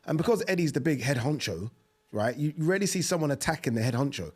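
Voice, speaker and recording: A man, hosting a casual boxing chat podcast in a studio, animated and quick to laugh. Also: a little high, slightly rough. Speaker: podcast host. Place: studio set with dark curtains. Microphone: desk mic on boom arm.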